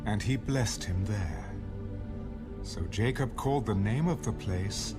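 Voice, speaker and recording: Slow, low-voiced man narrating scripture over music. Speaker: audio Bible narrator.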